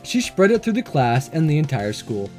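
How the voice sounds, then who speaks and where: A man reading a visual novel aloud and commenting on it in a playful, excitable, self-deprecating way. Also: fairly high pitch, moderate pace. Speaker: gaming video host. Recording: music under the voice.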